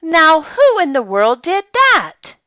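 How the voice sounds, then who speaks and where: A woman voicing a startled but kindly male night watchman in a children's storybook, loud and questioning. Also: extremely high pitch, huge pitch swings, slow, no echo, highs cut off, voice pushed up, full character voice. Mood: surprised.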